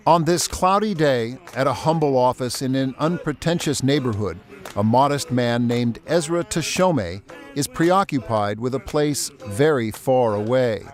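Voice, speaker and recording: A man reading scripted documentary narration in a measured, even tone. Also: medium pitch, soft background music.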